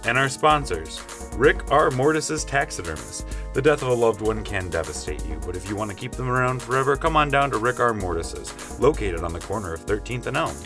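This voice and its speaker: A man's voice: mid-range voice, expressive, a little fast. A man hosting an audio comedy show, reading scripted credits and mock sponsor messages. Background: music.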